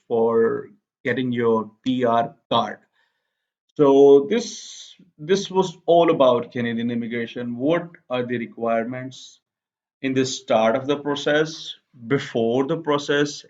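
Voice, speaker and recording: Young man, plain step-by-step explainer. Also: medium pitch. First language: Urdu. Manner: repeats "okay", fills with "uh", explains step by step. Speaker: immigration explainer. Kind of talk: casual screen-share tutorial. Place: small indoor room. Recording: slightly dull lo-fi sound.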